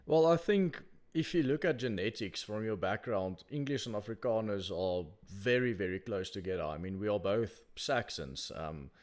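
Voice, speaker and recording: A man from South Africa hosting a call-in show, talking in a measured way and often restarting words. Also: on the low side, expressive, a little mellow. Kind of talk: casual call-in chat.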